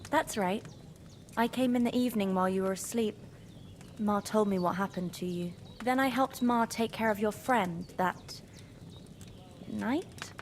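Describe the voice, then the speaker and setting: A woman's voice: medium pitch, a little slow, a little hiss or hum, close to an everyday voice. An actress playing a cheerful young village woman in a game, chatty and teasing with rustic speech.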